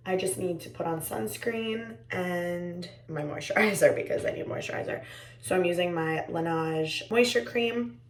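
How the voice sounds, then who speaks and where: A young woman with a raspy, tired voice, chatting casually to her viewers in a home vlog. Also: fairly low pitch, moderate pace, reverberant, recorded from a distance, a little hiss or hum. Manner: chatty, rambling, talks to viewers as friends. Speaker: vlogger. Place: indoors at home.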